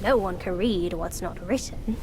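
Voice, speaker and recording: A woman's voice: on the low side, normal speed, background noise, natural voice. A woman voicing a teasing figure in a game cutscene, probing with pointed questions. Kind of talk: video game cutscene voice acting.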